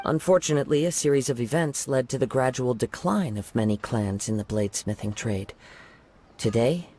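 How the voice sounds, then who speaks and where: An actor playing a calm, courteous young samurai in a game, speaking in a formal, soft-spoken way. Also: male voice, mid-range voice, normal speed, natural voice. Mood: composed. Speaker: wandering samurai. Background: game music.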